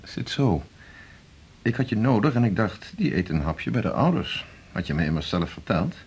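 Male senior doctor, radio drama. Condescending and commanding, very animated delivery with a husky edge. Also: fairly low pitch, normal speed, exaggerated voice. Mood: probing. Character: domineering, prying male senior hospital doctor, needy underneath. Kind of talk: 1980s Dutch radio drama acting.

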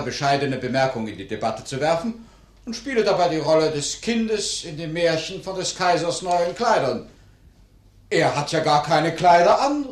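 Pompous man orating in parliament, high voice, sceptical, radio play, echoey hall. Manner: oratorical, declamatory.

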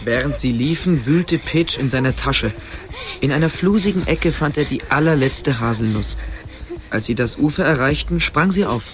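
A man's voice: medium pitch, moderate pace. A man narrating a scripted story in a dramatic storytelling style.

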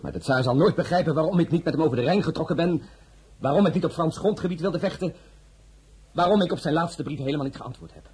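A man's voice: on the high side, fast, darkish tone, breathy edge. A man playing a proud, troubled crown prince and army commander in a radio drama, formal in speech and full of brooding emotion. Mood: agitated.

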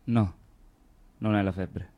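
A man's voice: on the low side, fairly even intonation, muffled recording. An actor playing a brooding, pensive man talking with his close friend in a radio play, reflective and lyrical. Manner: reflective, lyrical, measured storytelling. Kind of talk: theatrical radio play acting.

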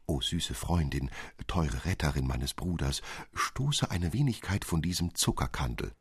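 An elegant, tender fairy-tale princess, read by a man gushes in flowery phrases. The voice is low and husky, with a dry sound. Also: animated, unhurried, dry recording, exaggerated voice. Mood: sweet, gracious. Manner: flowery, effusive address.

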